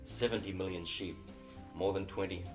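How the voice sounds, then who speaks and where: A middle-aged man from New Zealand with a New Zealand accent, a missionary and author telling his story in an interview, reflective and unhurried with small restarts. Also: low voice, fairly even intonation, over music.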